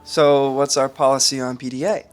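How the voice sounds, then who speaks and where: An actor playing an eager, awkward husband bluffing with breezy confidence in a sitcom podcast. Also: male voice, medium pitch, little pitch movement, moderate pace, close to an everyday voice. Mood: awkward, earnest.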